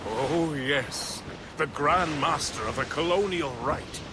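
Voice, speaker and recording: An actor playing a jovial, tipsy, flowery-tongued gentleman companion in a video game. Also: on the high side, slow, noisy background. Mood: amused, chatty. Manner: animated, flowery.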